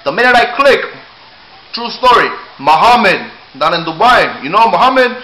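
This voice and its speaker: A man's voice: high voice, normal speed. A man from Cuba, telling a rambling, profane comic story and slipping words into his English. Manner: animated, profane, drops into Spanish words. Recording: echoey room with faint noise.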